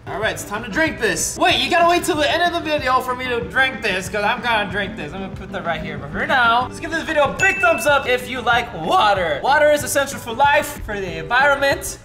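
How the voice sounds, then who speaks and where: Playful young man, lively pitch. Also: fairly quick, hardly any pauses, soft background music. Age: young adult. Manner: playful, giggly, jokey, talks to viewers. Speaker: YouTube host. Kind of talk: goofy challenge vlog. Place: indoor studio set with hot tub.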